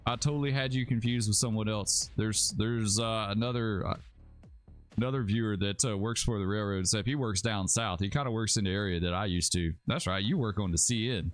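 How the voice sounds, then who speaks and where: A low-voiced man with a relaxed drawl chats through a game live stream at his home setup. He rambles easily, calling viewers dude and bro, with faint music under his voice. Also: fairly quick. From Alabama, USA. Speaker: livestreaming gamer host. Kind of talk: casual live-stream commentary.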